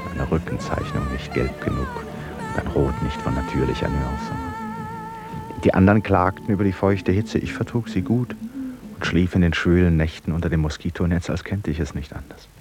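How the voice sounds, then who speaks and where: A man acting in a German radio play as a reflective painter, with a measured, literary delivery. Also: low-pitched, wildly swooping pitch, over loud music. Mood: reflective, melancholy. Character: reflective, helpful male painter recalling jungle expedition.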